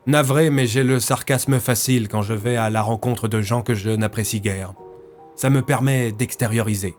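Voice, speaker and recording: A man's voice: mid-range voice, moderate pace, darkish tone, faint music behind, natural voice. Man as a sardonic, blunt police inspector. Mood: sarcastic. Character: sarcastic, distrustful, blunt male police inspector. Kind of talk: French audio fiction acting.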